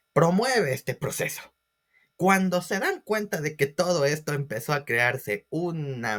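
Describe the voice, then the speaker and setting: A man's voice: medium pitch, a bit bright. Man teaching with theatrical emphasis. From Ecuador. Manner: theatrical emphasis, rhetorical questions to listeners. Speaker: history teacher. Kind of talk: animated storytelling lecture.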